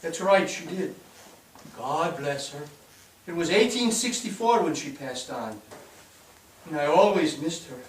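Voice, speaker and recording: High-voiced, earnest immigrant storyteller played by an actor. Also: male voice, expressive, measured pace, long pauses, slight background noise. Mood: wistful. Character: earnest, wistful Belfast immigrant recalling his past. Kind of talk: staged play reading. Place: theatre stage, recorded from a distance. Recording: echoey stage, distant mic.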